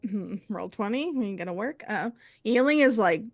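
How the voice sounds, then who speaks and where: A woman's voice: medium pitch, animated, normal speed, dry recording. A woman playing in a tabletop game, rambling and giggling as she describes her character. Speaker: player. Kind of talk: casual tabletop roleplay.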